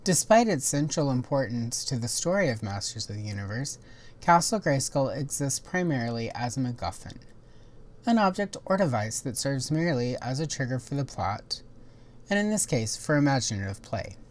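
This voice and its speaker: A woman hosting a toy history video, giving scripted documentary narration in a measured, informative way. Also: very deep voice, big pitch swings, a little mellow.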